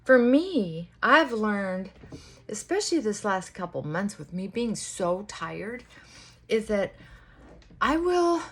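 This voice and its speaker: A woman vlogger talking in casual, rambling chit-chat, reflective and halting, often trailing off and circling back. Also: medium pitch, big pitch swings, a bit bright, breathy edge.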